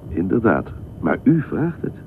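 A man's voice: lowish voice, big pitch swings, measured pace. A man in a radio play acting a reserved, sceptical man who answers a stranger in a terse, matter-of-fact way. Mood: guarded, irritated. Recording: tinny band-limited radio play, clear noise.

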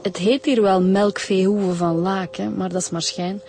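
An actress playing a direct, inquisitive police inspector with a Flemish accent in a radio drama. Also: female voice, mid-range voice, steady tone, normal speed, warm tone, soft background music, lightly acted. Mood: informative.

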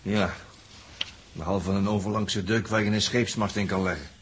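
A man's voice: on the low side, fairly even intonation, moderate pace, lightly acted. An actor playing a dry, teasing spaceship crewman in a 1960s radio play. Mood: wry, calm. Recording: noisy old 1960s radio recording.

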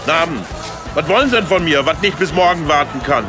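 Hot-headed local boss, male actor, radio play, highish voice, very animated, music underneath. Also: fast talker, close to an everyday voice. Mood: irritated. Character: hot-tempered small-town sausage boss and would-be mayor. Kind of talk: German satirical radio drama.

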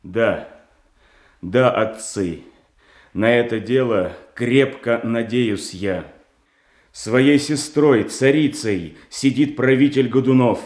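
Guy acting a scheming russian boyar prince, slow theatrical delivery, roomy echo, radio play. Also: mid-range voice, a little mellow, natural voice. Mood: resolute, scheming. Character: boyar prince, calculating conspirator urging fellow plotters. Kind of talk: classic Russian stage tragedy acting.

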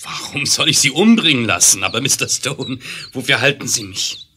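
An actor playing an evasive swindler in a 1970s German audio drama, defensive and affronted. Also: male voice, medium pitch, expressive, moderate pace, sharp tone. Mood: defensive, indignant. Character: evasive, indignant male swindler posing as gentleman. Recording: noisy old vinyl radio-play recording.

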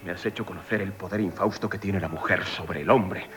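An actor with a Castilian Spanish accent playing a proud, hot-tempered gentleman who scorns women, declaiming indignantly in a radio comedy. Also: sits low, steady tone, brisk. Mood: bitter. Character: proud, hot-tempered woman-hating gentleman, tormented by love. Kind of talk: theatrical 1970s radio comedy acting.

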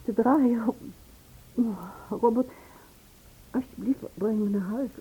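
A woman acting in a Dutch radio play as an anxious, fragile woman who speaks haltingly. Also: on the high side, warm tone, exaggerated voice. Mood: faint, distressed. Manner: hesitant, halting, breathy. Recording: muffled narrow-band old radio recording.

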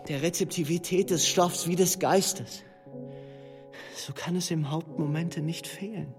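An earnest reciter with a high voice, declaiming philosophical fragments in dramatic literary style. His words ring in a long hall reverb, with music behind.

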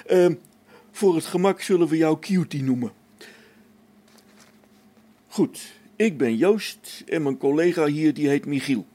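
A man's voice: a little high, a little slow, pauses often, mellow. A man playing a practical, patient engineer on a space station in a science fiction radio play, explaining things matter-of-factly. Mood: patient, instructive.

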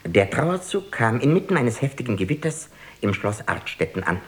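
A man's voice: mid-range voice, very animated, normal speed, close to an everyday voice. An actor playing a deferential male court official in a radio drama, reporting in a formal, precise and ceremonious manner. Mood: solemn, reporting.

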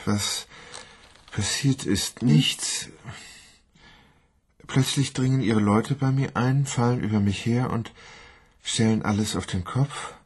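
A man's voice: medium pitch, breathy edge. An actor playing an odd, hesitant man devoted to his mobile phone in a radio play. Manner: hesitant, halting.

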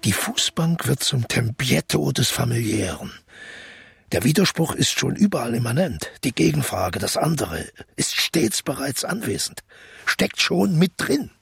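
An actor playing an eccentric, zealous intellectual who lectures emphatically in rhetorical questions in an audio drama. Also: male voice, mid-range voice, big pitch swings, unhurried, creaky, a bit bright, full character voice. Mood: absorbed, pondering. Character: eccentric male intellectual, zealous expert explaining an artwork. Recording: music playing behind the voice.

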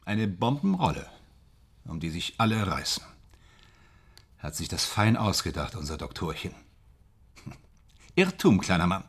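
A man's voice: low voice, very animated, unhurried, pauses often, breathy edge, full character voice. An actor playing a proud, touchy stage actor in a radio drama, boastful and emphatic in his delivery. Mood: scornful. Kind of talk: naturalistic radio drama dialogue.

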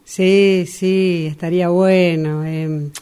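Woman acting awkward, slow-spoken Argentine woman. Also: on the low side, fairly even intonation, warm tone, smooth. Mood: awkward, polite. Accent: Argentine, Rioplatense. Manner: hesitant, evasive, repetitive. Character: awkward woman feigning recognition of old friend. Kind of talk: radio drama workshop acting.

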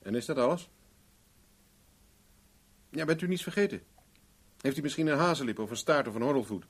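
An actor playing a formal, sardonic, businesslike private investigator in a Dutch radio play. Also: male voice, medium pitch, expressive, fairly quick, slightly rough. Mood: probing, sardonic. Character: dry-witted, businesslike male private investigator.

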